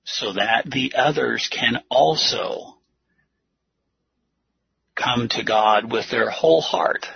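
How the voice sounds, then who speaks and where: A man preaching and teaching a Bible lesson, speaking in deliberate, earnest short phrases with a husky, gravelly voice. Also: medium pitch, dry recording. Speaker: preacher leading a church lesson. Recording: muffled lo-fi audio.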